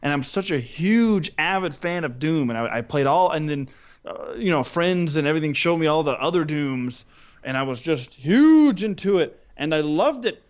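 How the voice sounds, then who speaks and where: A man co-hosting a podcast in casual chat, telling stories in an animated, self-deprecating way. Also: on the high side, normal speed, smooth. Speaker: podcast co-host.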